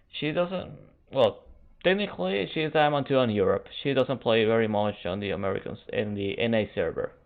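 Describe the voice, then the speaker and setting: Man, second-language English, esports stream host, casual chat, halting phrasing, thin phone-like audio. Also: mid-range voice. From Canada. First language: Spanish. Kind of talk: casual stream chat while waiting for a match. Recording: narrow phone-like band.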